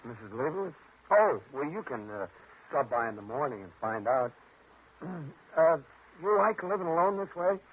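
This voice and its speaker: An actor playing a guarded, secretly murderous tough guy in a 1950s radio drama, talking in a terse, halting, evasive way. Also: fairly high pitch, sing-song, breathy edge, full character voice. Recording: muffled, noisy old radio recording.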